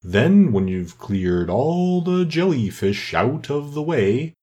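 A man voicing a weepy, sentimental sea turtle in a children's storybook, with slow, drawn-out, mournful delivery. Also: mid-range voice, big pitch swings, warm tone, voice pushed up. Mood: excited, plaintive.

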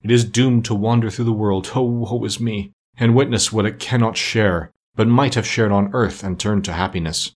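A man's voice: on the low side, normal speed, hardly any pauses, natural voice. A man voicing a tormented, solemn ghost of a dead businessman in a storybook reading, with mournful, grave, declamatory delivery. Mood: woeful.

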